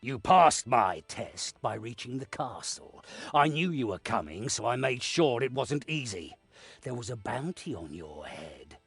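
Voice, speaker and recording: An actor playing a stern, authoritative lord of a castle in a video game, husky and deliberate. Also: male voice, medium pitch, big pitch swings, a bit bright, no echo, faint music behind, full character voice. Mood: measured, knowing. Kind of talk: video game cutscene acting.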